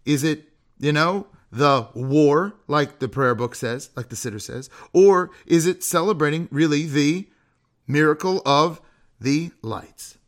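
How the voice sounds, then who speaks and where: A man giving an animated, conversational religious lecture, emphatic, with rhetorical questions and frequent checks on his listeners. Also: on the high side, a little slow.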